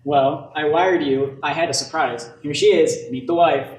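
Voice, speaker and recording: A breezy young man home from college, acted by a young man in a radio play. His voice is a bit high and casual, with roomy echo and faint noise. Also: moderate pace, darkish tone, close to an everyday voice. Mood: cheerful. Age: young adult. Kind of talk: amateur radio play acting. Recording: echoey room, distant mic, some noise.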